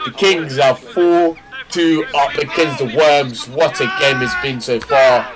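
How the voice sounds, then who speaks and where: A man commentating on an amateur football match from the touchline, calling the play excitedly and repeating himself. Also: unhurried. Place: outdoors on the touchline. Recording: loud crowd on an outdoor pitch.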